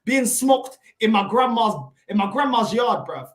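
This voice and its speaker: A woman's voice: on the high side, lively intonation, a little slow, echoey room. A woman on a remote call to a football fan panel, ranting in a fiery, mocking way and throwing in Portuguese insults. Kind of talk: heated fan rant on a panel show.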